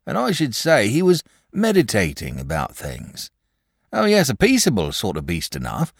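A man's voice: fairly high pitch, creaky, slightly rough, no room sound, clean studio sound, pitched above the performer's own voice, exaggerated voice. A man voicing a nervous, superstitious country shepherd and father from a storybook, rambling on emphatically in rural dialect. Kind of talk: storybook character voice.

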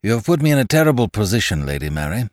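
Man acting an earnest, distressed detective, swinging in pitch. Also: big pitch swings, racing, dry recording, clean studio sound. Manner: emphatic, repetitive, formally courteous. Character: earnest police detective, protective, distressed, imploring.

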